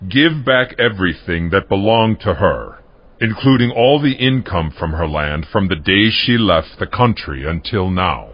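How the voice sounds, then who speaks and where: Actor as deep, husky commanding king. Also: expressive, measured pace, exaggerated voice. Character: suspicious, commanding king of Israel. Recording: dull, muffled audio.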